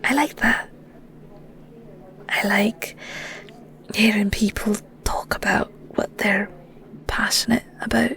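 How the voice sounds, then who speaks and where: A shy young Scottish farm lass, acted by a woman, sweet and easily flustered. Her voice sits on the high side and she takes her time, stumbling over words in a close, intimate audio roleplay. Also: gravelly, a bit bright, full character voice. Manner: bashful, stammering, rambling, giggly.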